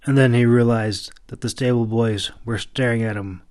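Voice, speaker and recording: A man narrating a fantasy audiobook, reading scripted prose with some halting stumbles and restarts. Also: medium pitch, steady tone, darkish tone.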